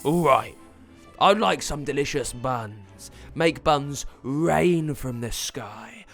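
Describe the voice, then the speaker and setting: A man voicing a smug, bossy evil wizard in a children's story, blustering and shouting in rage. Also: mid-range voice, animated, slow, vocal fry, faint music behind, pitched above the performer's own voice, heavily acted. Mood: angry, bossy.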